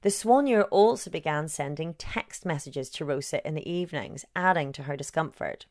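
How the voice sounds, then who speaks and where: Woman narrator with a low voice, sober and measured: a journalist reading her long-form feature. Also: a little mellow. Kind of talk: scripted narration.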